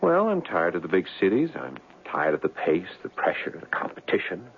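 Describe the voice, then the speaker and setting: An earnest, smooth-talking young reformed crook in a radio play. He speaks eagerly, with animated swings in pitch. The sound is narrow and phone-like, like an old broadcast. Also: brisk, a little hiss or hum, exaggerated voice. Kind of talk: old-time radio drama acting.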